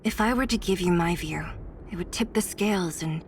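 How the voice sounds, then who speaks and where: A woman playing a cool, principled young fighter in a video game, firm and deliberate. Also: lowish voice, a little hiss or hum, close to an everyday voice. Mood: reserved. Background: faint game music.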